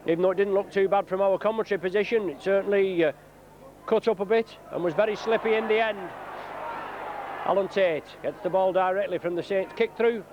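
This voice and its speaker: A man giving live rugby league commentary, slightly croaky from a cold, calling play by play with frequent "uh" fillers. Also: high voice, a little fast. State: croaky from flu. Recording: dull lo-fi broadcast tape with noise.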